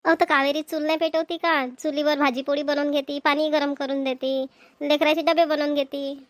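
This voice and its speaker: A nosy cartoon village woman, acted by a female performer, with a high voice. She is chatty, inquisitive and quick to advise, in a Vidarbha Marathi accent. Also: level tone, no echo, natural voice. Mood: advising. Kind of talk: amateur cartoon comedy dialogue.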